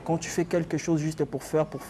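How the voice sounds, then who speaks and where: Jeune chanteur congolais à la voix lasse. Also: male voice, fairly high pitch, little pitch movement, normal speed, dark tone. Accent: Congolese French. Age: young adult. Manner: tired-sounding, hesitant, many fillers. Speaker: guest musician.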